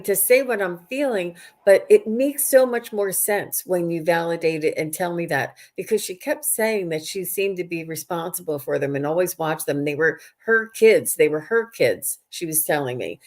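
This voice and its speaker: A woman hosting a live stream, chatting casually and giving readings to viewers, often halting and repeating phrases as she thinks aloud. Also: lowish voice.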